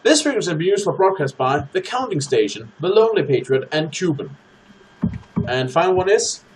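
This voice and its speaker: A man hosting a gaming let's play, chatting casually to his audience and reading game text aloud in an animated way. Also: on the high side, a little fast, over music, low-quality recording.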